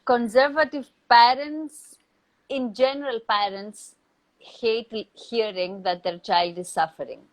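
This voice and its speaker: Slow, measured non-native English-speaking woman. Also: on the high side, low-quality recording. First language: not English or Hindi. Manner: thoughtful, measured, with deliberate pauses. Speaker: acting teacher, interview guest. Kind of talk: reflective advice in a live online Q&A.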